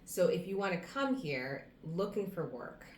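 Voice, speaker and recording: A woman's voice: lowish voice, very animated, moderate pace. An American woman in her thirties, talking casually to camera and giving earnest advice, listing things off one by one. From United States. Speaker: vlogger. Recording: roomy echo, faint background hum.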